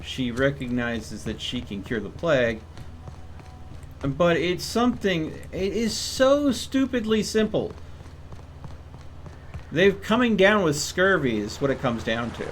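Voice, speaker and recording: A man's voice: a little high, expressive, slow pace, pauses often, slight background noise. A man giving casual live game commentary in a musing, explanatory way, drawing out some phrases as he thinks. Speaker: game streamer.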